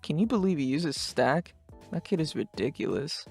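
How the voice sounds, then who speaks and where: A woman voicing a laid-back, sarcastic teenage boy in a fan dub, talking in deadpan slang. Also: sits low, normal speed, creaky, husky, faint music behind, exaggerated voice. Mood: deadpan, mildly sarcastic.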